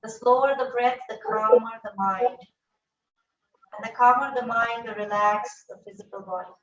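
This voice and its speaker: A woman with a slightly high voice guides a breathing meditation, speaking softly and slowly with long pauses between instructions. She sounds set back from the microphone in an echoey room, and the recording is muffled and dull. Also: recorded from a distance. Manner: slow, soft, calm instructions with long pauses. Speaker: yoga teacher.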